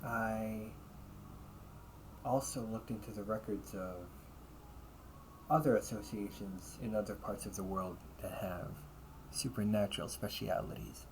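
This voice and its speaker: Casting: male actor for shrewd, composed demon hunter in an audio roleplay, fairly low voice, deliberate and probing, slight noise behind. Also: steady tone, poor audio. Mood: calm, probing. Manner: measured, deliberate, probing, with pauses and hushed phrase endings. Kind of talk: audio roleplay, scripted character monologue addressed to listener.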